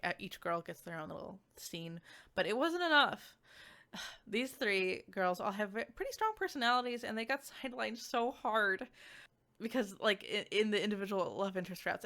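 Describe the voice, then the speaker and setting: A woman's voice: mid-range voice, a bit bright. A woman giving a casual, chatty game review in an enthusiastic, informal and giggly manner. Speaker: video game reviewer and streamer.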